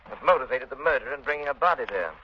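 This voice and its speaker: An actor playing an urbane, analytical gentleman sleuth, confident and cultured in his wording, in 1930s radio drama style. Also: mid-range voice, lively intonation, slightly rough. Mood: pensive, deductive. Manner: cultured, deliberate wording, pensive. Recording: noisy, dull 1930s radio recording.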